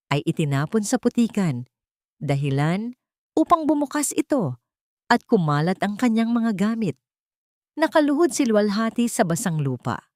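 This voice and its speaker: A woman's voice: lowish voice, darkish tone, no room sound, studio quality. A woman storyteller reading scripted, dramatic narration.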